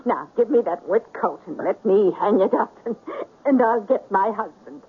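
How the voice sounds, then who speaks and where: A woman's voice: medium pitch, lively intonation, normal speed, husky. An older woman playing a kindly, trusting little old lady who fusses hospitably, in a 1970s radio drama. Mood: warm, fussing. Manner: fussing, motherly, chatty. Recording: noisy, narrow-band 1970s radio recording.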